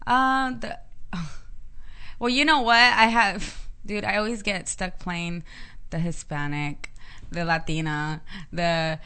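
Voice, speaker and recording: A woman's voice: lowish voice, steady tone, takes it slowly, pushed and bright, smooth. A woman from Mexico with a Spanish accent, chatting frankly as an interview guest and often giggling. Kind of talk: casual interview chat.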